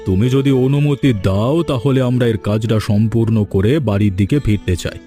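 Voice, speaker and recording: A man playing a middle-aged, fussy village father-in-law in a cartoon story, talkative and quick to scold. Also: on the low side, steady tone, dark tone, soft background music, lightly acted. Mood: polite, reassuring. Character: fussy older village father-in-law, easily swayed and irritable. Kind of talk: animated cartoon story dialogue.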